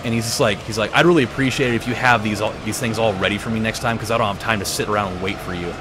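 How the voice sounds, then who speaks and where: Guy doing gaming video chat, animated storytelling, sweary, music and car engines behind him. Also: on the low side, very fast. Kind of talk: casual chat over a racing game. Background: loud game music and car engines.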